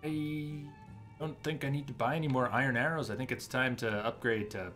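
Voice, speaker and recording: A man in his thirties gives casual live game commentary. His voice is mid-pitched, and he muses aloud over his choices. Faint game music plays behind him. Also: steady tone, fairly quick, fairly bright tone. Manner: musing, thinking aloud. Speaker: game livestreamer. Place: indoor streaming setup. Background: game music and ambience.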